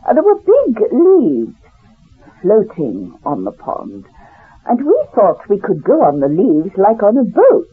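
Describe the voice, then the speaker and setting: A woman's voice: mid-range voice, wildly swooping pitch, slow, breathy edge, exaggerated voice. An actress playing an elderly woman from Poland with a Polish accent, rambling through memories in a radio drama monologue and talking straight to the listener. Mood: reminiscing. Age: older. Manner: rambling, addresses listener directly, laughs at herself. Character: elderly refugee woman, talkative, proud, wry survivor. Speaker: storyteller recounting her past. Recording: tinny lo-fi old radio recording.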